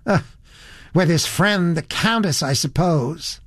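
A man voicing a blunt, earnest young woman with a high, shrill voice in an audiobook story. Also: takes it slowly, a bit bright, dry recording. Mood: anxious, probing. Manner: blunt, indignant. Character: earnest, disapproving, very young American tourist woman.

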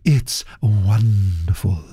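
A woman's voice: extremely low pitch, extremely slow, mellow, breathy edge, dry recording, studio quality, soft background music, voice pushed down, exaggerated voice. A man voicing a theatrical, absent-minded actress who gushes about food in a languid way. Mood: gushing. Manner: gushing, languid.

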